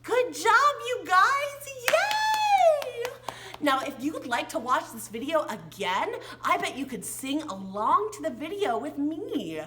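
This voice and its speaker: A high-pitched American woman reads and half-sings to little children in a very animated voice. Her pace is slow, and she plays up her delivery with gasps and questions to the listeners. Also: very noisy. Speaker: children's read-along and sing-along teacher. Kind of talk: interactive storytelling and sing-song reading for young children.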